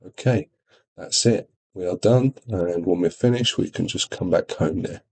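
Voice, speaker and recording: A man with a fairly low voice talks through a software demonstration. He explains each step informally, as a product trainer would in a screen tutorial. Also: normal speed, vocal fry, husky.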